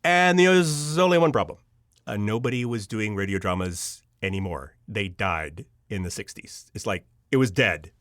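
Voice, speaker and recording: A middle-aged American man speaks as a podcast guest with a very lively, swooping pitch. He tells his own stories conversationally and slips into quoted dialogue as he goes. The recording is close and dry. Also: normal speed, dry recording. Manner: acts out remembered dialogue, conversational. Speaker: podcast guest, audio drama writer. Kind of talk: animated personal storytelling in an interview.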